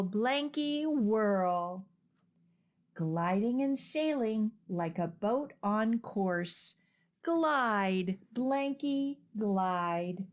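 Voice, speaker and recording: A woman reading a children's picture book aloud with expressive, deliberate and rhythmic phrasing. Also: fairly high pitch. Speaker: children's storytime reader.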